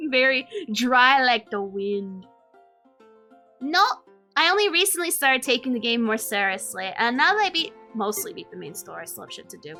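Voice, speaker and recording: A woman's voice: fairly high pitch, very animated, moderate pace, sharp tone, faint music behind. A woman live-streaming a game with casual, slangy, reactive commentary and offhand swearing.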